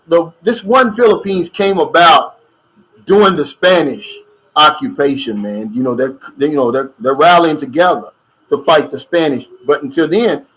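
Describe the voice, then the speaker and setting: A man's voice: fairly high pitch, a bit bright, poor audio. A middle-aged man hosting a casual livestream, reading viewer comments and chatting loosely with lots of fillers and asides. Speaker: YouTube channel host. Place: lives in the Philippines.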